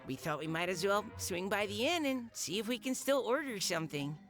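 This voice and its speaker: Actress as a courteous teen knight in a game, eager, animated delivery. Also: female voice, medium pitch, fairly quick, over music, natural voice. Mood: earnest. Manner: polite, earnest. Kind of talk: video game dialogue.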